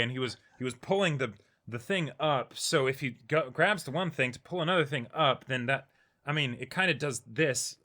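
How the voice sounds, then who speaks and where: A man's voice: a little high, pushed and bright. A man co-hosting a reaction show, talking casually with a sarcastic manner. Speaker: co-host of an anime reaction show. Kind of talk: casual discussion.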